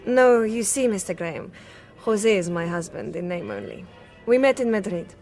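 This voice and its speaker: Actress as a flirtatious worldly woman, frank, precise wording, mid-pitched, radio play, music behind. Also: animated, normal speed, a little mellow, lightly acted. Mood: flirtatious, candid. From Serbia. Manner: coquettish, frank, precise formal phrasing. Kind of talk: radio drama acting.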